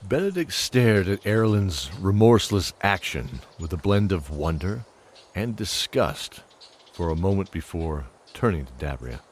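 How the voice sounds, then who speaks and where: A man narrating a scripted fantasy story in a measured, dramatic manner. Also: sits low, sing-song, slight background noise. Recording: music under the voice.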